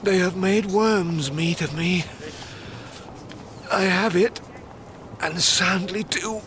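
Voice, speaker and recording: A dying young gallant in classical radio drama, faint and bitterly cursing. His delivery is slow, with clear street noise around him. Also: sits high, a bit bright. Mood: bitter, failing.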